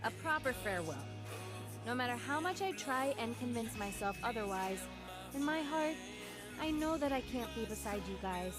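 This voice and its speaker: A woman's voice: fairly high pitch, lively intonation, normal speed, lightly acted. A woman voicing a wistful, playful young woman in a video game, bidding comrades farewell. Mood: wistful, resigned. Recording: music behind the voice. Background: music.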